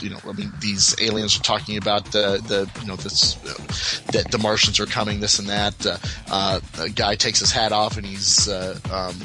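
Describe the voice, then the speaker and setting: Halting, rambling man with a lowish voice. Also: little pitch movement, music in the background. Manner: halting, rambling, stumbles and backtracks. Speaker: podcast guest. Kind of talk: casual enthusiast chat.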